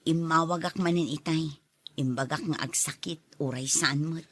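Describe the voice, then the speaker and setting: A woman's voice: low-pitched, moderate pace, fairly bright tone. A woman playing a worried, long-suffering wife and mother in a radio drama, with an Ilocano accent. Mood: worried, apologetic.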